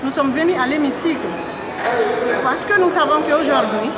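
A high-pitched middle-aged woman from Guinea speaks into a reporter's handheld mic, earnest and insistent, hammering home her key phrases. Loud crowd chatter fills the indoor public hall behind her. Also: normal speed. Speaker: trade union leader. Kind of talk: press interview answers. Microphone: handheld reporter mic held close.

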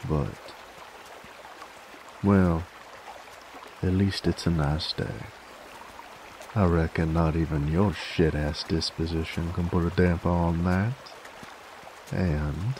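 A man playing a cocky, dominant werewolf bounty hunter in an ASMR roleplay, with a gravelly cowboy drawl and a teasing, folksy manner. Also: background noise, full character voice. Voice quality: deep, gravelly. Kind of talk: ASMR audio roleplay, in-character monologue. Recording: steady trickling stream ambience behind. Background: running water, outdoor nature ambience.

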